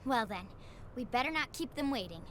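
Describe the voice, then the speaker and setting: A woman's voice: fairly high pitch, expressive, fairly quick, a little hiss or hum, natural voice. An actress playing a chirpy, playful young woman pilot in a video game. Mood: upbeat. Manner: bubbly, playful. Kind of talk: video game cutscene voice acting. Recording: music behind the voice.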